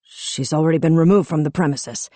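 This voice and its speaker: Woman reading a stern gruff police chief guy, low voice, dry close mic. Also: fairly quick, dark tone, no room sound. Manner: curt, authoritative. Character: stern middle-aged male police chief.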